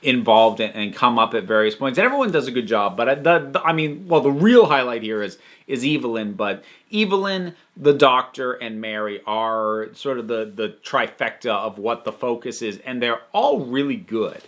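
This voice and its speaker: Male review host, mid-pitched with a bright, forceful edge; casual and emphatic, thinks aloud in halting, wandering sentences. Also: expressive. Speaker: host reviewing audio dramas. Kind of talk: casual unscripted review commentary.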